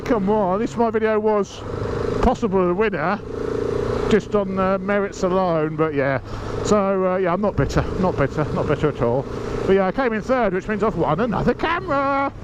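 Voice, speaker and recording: A man's voice: sits high, muffled recording. A man talking casually while riding a motorbike, chatty and cheeky with the odd swear word, snuffly from hay fever. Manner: chatty, cheeky and self-mocking, swearing now and then. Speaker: motorcycle vlogger. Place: riding a motorbike outdoors on urban roads. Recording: loud wind and traffic noise.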